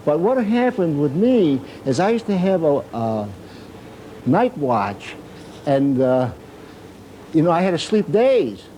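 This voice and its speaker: A man's voice: a little high, sing-song, takes it slowly, pauses often, dark tone, a little hiss or hum, low-quality recording. Elderly man reminiscing aboard an old ship. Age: older. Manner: rambling, self-correcting anecdotes with chuckles. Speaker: Navy veteran revisiting his ship. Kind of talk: reminiscing storytelling. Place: aboard an old destroyer, indoors and on deck.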